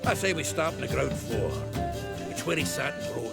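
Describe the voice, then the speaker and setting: A man voicing a gruff, boisterous dwarf warrior in a video game, a hearty old comrade with blunt, salty, folksy banter. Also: mid-range voice, lively intonation, normal speed. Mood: disgruntled, wry. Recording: music behind the voice.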